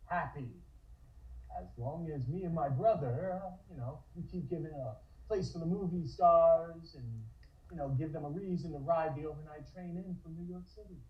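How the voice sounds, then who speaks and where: Man acting a smug Prohibition-era boss, tipsy, folksy, halting, higher-pitched, muffled roomy recording. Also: lightly acted. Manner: halting, folksy, self-assured. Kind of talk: comic-book table read acting. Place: indoor room on a multistream call. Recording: dull, roomy remote-stream audio.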